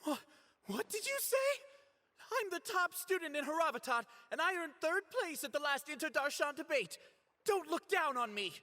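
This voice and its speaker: Flustered, proud young student in reverb. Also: male voice, very high voice, very animated, moderate pace, bright, forceful tone, exaggerated voice. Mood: indignant. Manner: hesitant, earnest, defensive. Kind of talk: dramatic game character acting.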